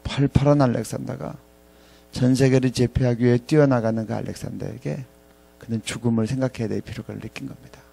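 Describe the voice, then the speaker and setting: A man in his fifties preaching a reflective sermon to ministry staff in a halting way, pausing often and repeating phrases. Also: medium pitch, steady tone, vocal fry, dark tone, slightly rough. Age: 50s. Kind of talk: reflective sermon with scripture readings.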